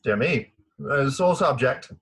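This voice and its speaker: A man acting a flustered, gallant middle-aged colonel in a comic Victorian farce, stammering and hesitant, with a husky voice. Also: mid-range voice, lively intonation, measured pace, a bit bright. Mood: baffled, wary. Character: flustered, gallant English army colonel, bumbling and reluctant. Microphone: remote home recording over video call.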